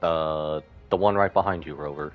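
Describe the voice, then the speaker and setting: A man's voice: a bass voice, fairly even intonation, moderate pace, narrow, tinny audio, soft background music. A man doing casual live game commentary as a streamer.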